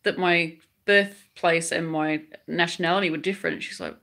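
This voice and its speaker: A woman's voice: fairly low pitch, steady tone, moderate pace, sharp tone. A woman born in England whose accent mixes English and Australian, hosting a casual live chat and reading viewer comments aloud. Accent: English with some Australian. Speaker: crochet channel host.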